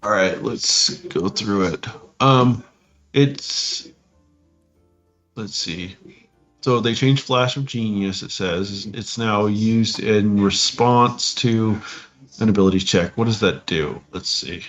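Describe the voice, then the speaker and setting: Bit muffled guy co-hosting a game podcast, kinda noncommittal, quiet music under him. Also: lowish voice, slow. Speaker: podcast co-host. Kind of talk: casual discussion of tabletop games.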